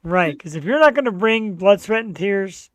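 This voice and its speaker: A man's voice: high voice, expressive, moderate pace, a bit bright, no room sound. A man hosting his own podcast, talking casually in an earnest, rambling, self-deprecating way. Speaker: podcast host. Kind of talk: casual chat.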